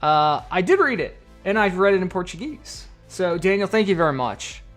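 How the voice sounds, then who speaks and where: A man's voice: high-pitched, very animated, moderate pace, a bit bright, faint music behind. A man chatting casually on a live stream, reading viewer donations and comments aloud. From United States. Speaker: card collector streamer.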